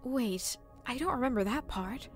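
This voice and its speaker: A woman playing an earnest young demon pony girl with a high voice, anxious and easily rattled. Dramatic music runs clearly behind her in this audio drama. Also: expressive, normal speed, close to an everyday voice. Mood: puzzled. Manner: anxious and earnest, often bursting out.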